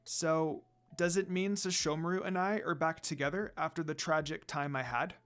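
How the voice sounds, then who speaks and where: A man's voice: on the high side, steady tone, moderate pace, fairly bright tone, lightly acted. A man voicing a curious, kind young priestess with an earnest, questioning manner in a fan fiction reading.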